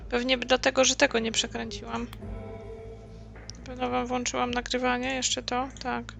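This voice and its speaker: A woman's voice: a little high, completely flat delivery, brisk, vocal fry, fairly bright tone, soft background music. A woman doing casual let's-play commentary, muttering to herself as she plays. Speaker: game streamer.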